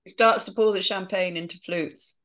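A woman's voice: mid-range voice, steady tone, normal speed, fairly bright tone. A woman reading out scene headings and stage directions in a script read-through over a video call.

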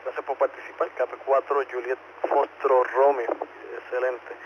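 A Puerto Rican man acts as net controller on amateur radio, speaking courteously at a medium pitch. The transmission is dull and band-limited, with clear noise around the voice. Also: level tone, a little slow. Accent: Puerto Rican Spanish. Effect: two-way radio transmission. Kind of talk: radio net check-in exchange. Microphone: two-way radio. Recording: muffled ham radio transmission with clear static.

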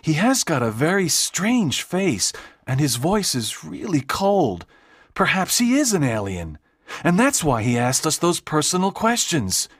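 An earnest teenage boy, voiced by a man in a dramatized story. His pitch moves in a lively way as he explains things eagerly. The recording is close and dry. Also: measured pace, breathy edge, no echo, heavily acted. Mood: pondering. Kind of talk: dramatized audiobook dialogue.

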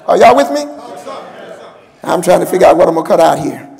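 An older man with a gravelly voice, preaching an impassioned, emphatic sermon and calling on his congregation. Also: fairly high pitch, big pitch swings, brisk, darkish tone. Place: large church sanctuary, at a pulpit. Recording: church hall with long reverb.

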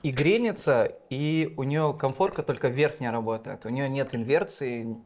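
A man's voice: mid-range voice, moderate pace, darkish tone. A young adult man chatting casually at a dinner table as a contestant. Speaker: dating show contestant. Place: indoor dining room.

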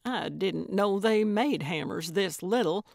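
A woman's voice: mid-range voice, unhurried, creaky, darkish tone, no echo, studio quality. A woman voicing a feisty, stubborn, suspicious older woman in an audiobook, with a blunt, emphatic delivery. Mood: determined. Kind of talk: audiobook character voice.

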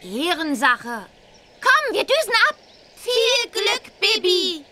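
An extremely high, bright witch schoolgirl, cheeky and breezy in a children's cartoon game. The delivery is unhurried, with faint nature sounds behind it. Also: very animated, slight background noise, full character voice. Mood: cheerful, teasing.

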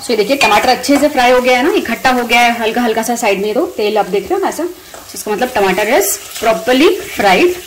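A woman's voice: fairly high pitch, lively intonation, brisk, low-quality recording. A woman in her thirties with an Indian accent, giving a casual, conversational cooking voice-over with English words mixed in. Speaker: home cooking vlogger and host. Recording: voice-over with clear sizzling kitchen noise.

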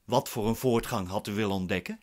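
A man's voice: on the low side, fast, darkish tone, natural voice. A man playing a proud, impatient accused man in a radio drama, curt and formal in manner. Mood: skeptical. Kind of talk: radio drama acting in Dutch.

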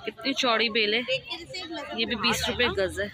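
Woman vlogger, Pakistani Urdu accent, high voice, chatty, noisy crowd behind. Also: steady tone, normal speed. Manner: chatty, asks sellers prices. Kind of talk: market tour vlog. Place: busy outdoor street market. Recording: crowded outdoor market noise. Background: crowd chatter and traffic.

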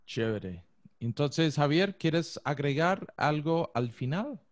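A young man hosting a video interview, asking brief, unhurried questions into a studio microphone. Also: fairly high pitch, expressive, no room sound. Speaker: host. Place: room with bookshelves. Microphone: studio microphone on arm.

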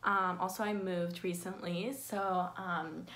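A woman's voice: fairly low pitch, little pitch movement, slow, fairly bright tone. Rambling teenage girl vlogging at home. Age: teens. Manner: rambling, filler-heavy, self-deprecating. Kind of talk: casual facts vlog. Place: bedroom with window blinds, indoors. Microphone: phone camera at close range.

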